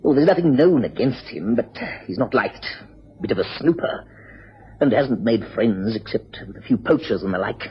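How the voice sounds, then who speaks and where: A muffled radio drama recording. A man plays a plain-spoken barman with a very husky voice, sharing gossip cautiously in short colloquial phrases. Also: mid-range voice, expressive, normal speed. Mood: confiding. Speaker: actor in a radio mystery.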